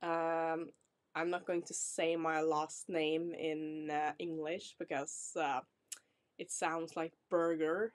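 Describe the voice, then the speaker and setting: Slow, low-voiced Norwegian woman vlogger. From Norway. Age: 20s. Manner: chatty, apologetic, reassuring, laughs often. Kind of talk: casual self-introduction to camera. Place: small decorated room indoors.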